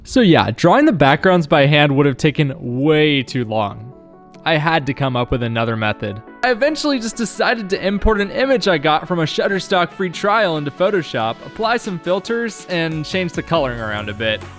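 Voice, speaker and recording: Young man, high-pitched, wryly narrating. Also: sing-song, normal speed, fairly bright tone, faint music behind. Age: young adult. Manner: wry, self-deprecating humour. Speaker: video creator and game developer. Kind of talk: scripted vlog narration.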